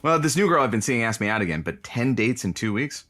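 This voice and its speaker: Glib, lively wisecracking ladies' man. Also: mid-range voice, fast talker. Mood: casual, evasive. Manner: dry, punning, bantering. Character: glib, wisecracking male radio colleague, a commitment-shy ladies' man. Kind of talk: audio comedy drama acting.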